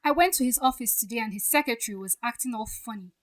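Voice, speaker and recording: Casting: woman as proud, hurt young bride-to-be in radio drama; high voice, firm and pointed. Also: quick pace, natural voice. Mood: hurt, bitter.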